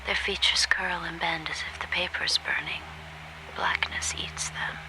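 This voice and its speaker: An actress playing a grieving, subdued captive handmaid in an audio drama, in a hushed, breathy, introspective inner monologue. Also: female voice, fairly low pitch, steady tone, measured pace, close to an everyday voice, poor audio. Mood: grieving, haunted. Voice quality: breathy, whispery.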